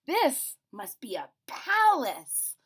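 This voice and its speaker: Lady doing a little storybook teddy bear, earnest n full of wonder, pitched up n swoopy. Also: huge pitch swings, fairly bright tone, breathy edge, exaggerated voice. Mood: amazed. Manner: earnest, wondering, drawn-out exclamations. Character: small wistful toy bear, wide-eyed with wonder.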